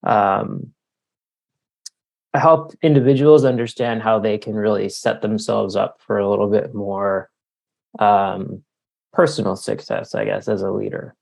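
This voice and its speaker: A man's voice: lowish voice, steady tone, a little slow, vocal fry, darkish tone. A middle-aged man giving reflective, somewhat hesitant answers as an author and leadership coach being interviewed.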